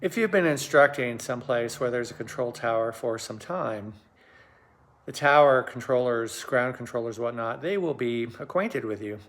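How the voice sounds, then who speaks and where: Measured male commentator. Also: mid-range voice, lively intonation, fairly bright tone. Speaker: host and aviation commentator. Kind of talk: explanatory commentary.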